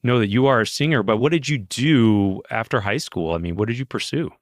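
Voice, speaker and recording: A man hosting a podcast, asking relaxed follow-up questions and giving friendly short replies. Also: medium pitch, fairly quick, no room sound, clean studio sound. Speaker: podcast host. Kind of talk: casual interview chat.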